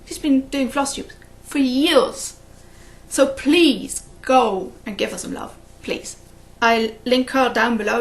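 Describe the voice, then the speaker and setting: A woman's voice: high voice, a bit bright. A woman hosting a cross-stitch video, chatting casually and rambling, often laughing at her own stories. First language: English. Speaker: flosstube host. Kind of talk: casual chat to camera.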